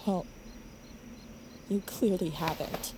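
A woman's voice: lowish voice, fairly even intonation, slow pace, background noise. A young woman playing an exasperated, accusing but loyal girl in an audio drama, indignant and dramatic. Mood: indignant, hurt.

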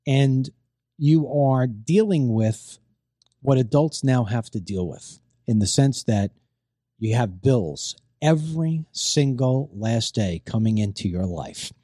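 A middle-aged man hosts a loose, chatty show, his voice strained after losing it. His tone is mellow and dark, recorded dry and close with no room sound. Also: medium pitch, expressive, slow pace, no echo. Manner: chatty, rambling, repeats himself. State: strained voice, recovering from voice loss. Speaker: host. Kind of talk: casual talk show chat.

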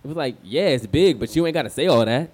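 Man acting young male escort, American accent, slangy, blunt, confiding, mid-pitched, radio play. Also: animated, quick pace, darkish tone, close to an everyday voice. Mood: candid, matter-of-fact. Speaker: radio show guest.

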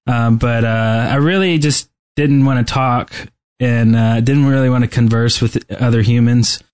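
A man co-hosting a podcast in casual chat, rambling and halting as he talks. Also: medium pitch, steady tone, a little mellow. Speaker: podcast co-host. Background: music.

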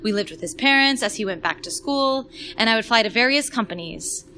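Bright-voiced woman reading a personal story. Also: fairly high pitch, lively intonation, a little fast, a little hiss or hum. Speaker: musical theatre performer. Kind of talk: scripted personal story read aloud.

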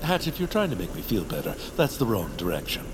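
A man's voice: fairly low pitch, huge pitch swings, fast talker, music in the background. A man acting a vain, sulky, self-important baron with a pompous, sarcastic delivery in an audio drama. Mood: sulky, indignant. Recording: clear background ambience noise.

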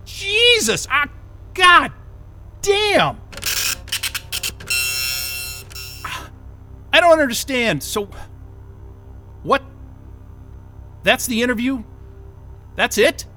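An actor playing a flustered, cynical jobless tech worker in an audio drama, blurting and halting as he is questioned. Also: very high-pitched, sing-song, bright, forceful tone, soft background music, exaggerated voice. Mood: pained, indignant. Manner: exasperated, sweary, blurting and halting. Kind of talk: naturalistic audio drama acting.

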